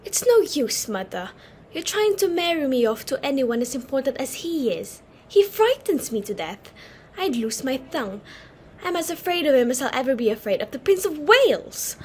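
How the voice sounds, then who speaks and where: A woman's voice: sits high, big pitch swings, moderate pace, a little mellow, slight background noise. A woman playing a timid, reluctant young society daughter in a play reading, with a plaintive, dutiful manner.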